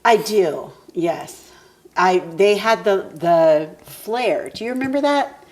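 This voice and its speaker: A woman chatting as a podcast guest, eager and quick to chime in. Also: mid-range voice, animated, a little mellow. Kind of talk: casual chat.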